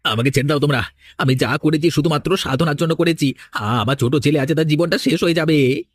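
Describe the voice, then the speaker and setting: A man's voice: on the high side, fairly quick, close to an everyday voice. A man voicing a menacing, vengeful undead cannibal sorcerer in a Bengali horror cartoon, with a theatrical threatening manner. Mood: desperate pleading. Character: menacing flesh-eating undead sorcerer ghost, vengeful father.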